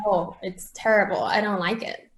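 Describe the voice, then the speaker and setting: A young woman from Peru chatting as an interview guest, talking freely with many restarts and quick laughs. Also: mid-range voice, steady tone, slight background noise, poor audio. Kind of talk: casual interview chat.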